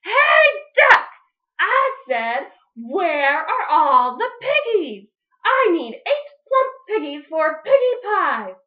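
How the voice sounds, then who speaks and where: A woman voicing a greedy, bossy female witch who shrieks and shouts her demands, heard on a dull lo-fi recording. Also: extremely high pitch, big pitch swings, takes it slowly, pitched above the performer's own voice, heavily acted. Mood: angry.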